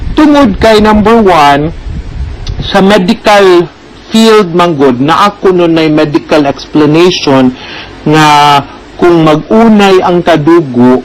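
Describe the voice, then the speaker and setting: A man's voice: a little high, a little hiss or hum, poor audio. A man with a Filipino accent giving advice as a programme host, explaining conversationally, switching into English and adding frequent check-in tags.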